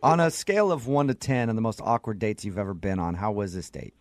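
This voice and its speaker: A man's voice: lowish voice, fast talker, heavy background noise. A man hosting a radio show in a casual, conversational style.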